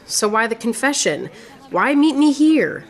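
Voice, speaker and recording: Actress as proud female duelist poet, high lively voice, sharp-tongued, theatrical radio play. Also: measured pace. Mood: wry, guarded. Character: proud witty swordswoman poet, Cyrano as a woman. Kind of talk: radio theatre acting in rhymed verse.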